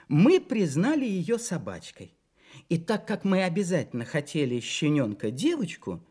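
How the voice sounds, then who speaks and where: A man's voice: sits high, a little mellow. A man reading a children's story expressively, in a playful, theatrical manner with conversational asides to the listeners.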